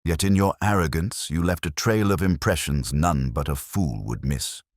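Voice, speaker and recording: A man voicing a shrewd, calmly relentless detective in an audiobook mystery, speaking in formal, measured, incisive phrases. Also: very deep voice, no room sound, studio quality. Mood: stern.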